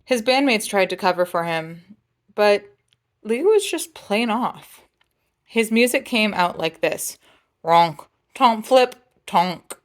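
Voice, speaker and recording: A woman's voice: mid-range voice, lively intonation, slow pace. A woman reading a picture book aloud as a storyteller, with playful, dramatic phrasing and jazzy sound effects.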